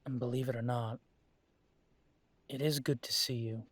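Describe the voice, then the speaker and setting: A man's voice: mid-range voice, steady tone, normal speed, no echo, lightly acted. A man voicing a defiant young werewolf son. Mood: quiet. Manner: defensive and firm, then quiet and conciliatory. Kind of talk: audio drama acting.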